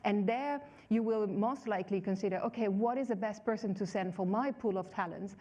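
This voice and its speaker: A woman's voice: medium pitch, fairly quick, warm tone, muffled recording. A woman professor on a conference stage, explaining her views thoughtfully with examples. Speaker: management professor, panel guest. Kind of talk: stage conversation.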